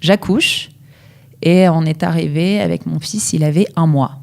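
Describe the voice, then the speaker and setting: A woman's voice: sits low, unhurried, vocal fry, a little mellow. A woman from northern France in her thirties, a dance coach telling her story in a casual interview, hesitant with many fillers.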